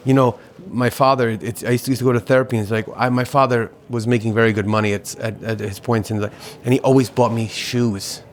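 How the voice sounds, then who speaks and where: A man's voice: medium pitch, fairly even intonation. A man in his forties, a restaurant owner, talking reflectively in an interview, often restarting and repeating words. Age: 40s. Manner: halting, repeats words, philosophical. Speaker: restaurateur guest.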